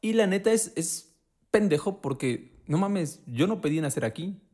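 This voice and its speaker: A man with a Mexican accent chatting casually as a podcast co-host, swearing often and acting out quotes in an animated way. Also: high-pitched, moderate pace. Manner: slangy, profane and animated, acts out quotes. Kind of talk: casual conversation.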